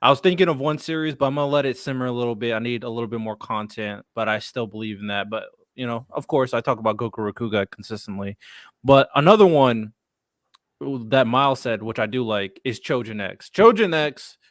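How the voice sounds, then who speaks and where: A man hosting a podcast, speaking in a relaxed, measured, casual way. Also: medium pitch, no echo, studio quality. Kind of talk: casual chat.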